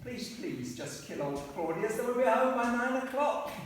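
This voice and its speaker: A man's voice: very high-pitched, normal speed, slight background noise. A flustered, exasperated narrator, as a man plays him, voice jumping up and down as he tries to keep the show on track. It sounds far off in a reverberant theatre hall. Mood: narrating. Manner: exasperated, lively. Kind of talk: comic radio play staged live. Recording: echoey hall, picked up from afar.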